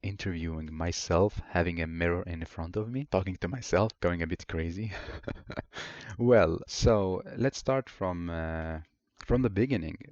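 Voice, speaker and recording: Low-voiced man in his twenties. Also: measured pace, darkish tone, no room sound, highs cut off. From Iraq. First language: Arabic. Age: 20s. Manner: earnest, rambling, searching for words. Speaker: podcast host. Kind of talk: solo personal storytelling monologue.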